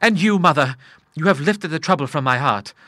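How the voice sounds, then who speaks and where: A man's voice: fairly high pitch, expressive, fairly quick, bright, forceful tone, no room sound, clean studio sound, pitched above the performer's own voice. A man voicing an earnest young businessman in money trouble who speaks formally and with feeling.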